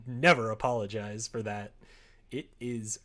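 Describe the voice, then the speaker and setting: A man's voice: lowish voice, fairly even intonation, measured pace, sharp tone. A man chatting casually on a live stream, rambling and self-amused as he thinks aloud. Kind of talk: casual chat on a live creative-writing stream.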